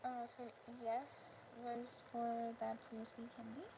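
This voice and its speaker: A woman hosting a casual craft livestream, chatting with viewers in short, halting phrases with pauses. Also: fairly high pitch, little pitch movement. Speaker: live stream host, crocheter. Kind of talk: casual livestream chat with viewers, showing handmade work. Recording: clearly noisy background ambience.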